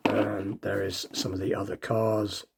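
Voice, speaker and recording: A man's voice: on the low side, level tone, a little slow, vocal fry, noisy background. A man with a slightly nasal, stuffed-up voice from a cold, chatting casually and thinking aloud as he hosts a hobby unboxing video.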